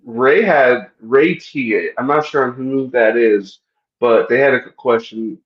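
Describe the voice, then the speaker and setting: A man hosting a podcast, answering in a halting, stop-start way. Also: mid-range voice. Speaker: host. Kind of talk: casual podcast chat. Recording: roomy, dull remote audio.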